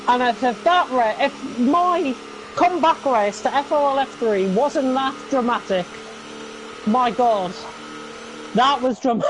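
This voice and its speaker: A woman's voice: high-pitched, background noise. A woman guest commentator on a sim racing livestream, talking in a dramatic, exclaiming way with a voice she has nearly lost.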